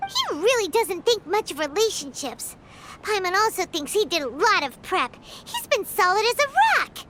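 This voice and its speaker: A woman voicing a tiny, excitable floating companion in a video game, with a high, childlike voice who talks about herself in the third person. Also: normal speed, slight background noise, exaggerated voice. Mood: eager, cheering. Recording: game soundtrack playing behind.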